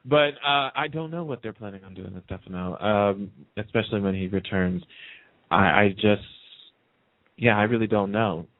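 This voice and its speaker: A man's voice: on the low side, normal speed, dry recording. A man chatting casually and emphatically as a guest on a radio show, repeating himself to make his point. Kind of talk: casual soap opera discussion. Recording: narrow phone-like line.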